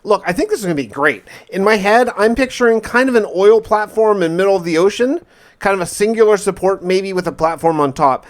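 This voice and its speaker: A man's voice: high-pitched, lively intonation, fairly quick. A man narrating a survival game in character like a diary, musing aloud in a conversational way with questions to himself. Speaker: gaming video narrator and player.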